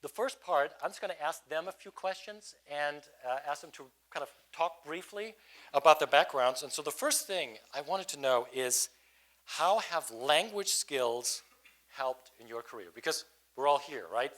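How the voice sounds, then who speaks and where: A man moderating a panel, introducing guests and asking questions in a relaxed, loose way with many fillers. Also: fairly high pitch, normal speed. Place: event panel before a student audience. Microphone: microphone at a panel table.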